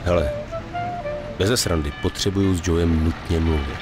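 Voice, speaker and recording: A man's voice: low voice, over loud music, natural voice. A man playing a cool, loyal mafia henchman in a video game, polite but insistent. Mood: insistent, wry. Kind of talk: dubbed video game dialogue.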